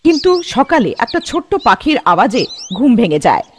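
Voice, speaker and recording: A man's voice: very high-pitched, sing-song, a little fast, slight background noise. A man narrating a scripted cartoon story in a dramatic storytelling manner. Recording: long reverb, music underneath.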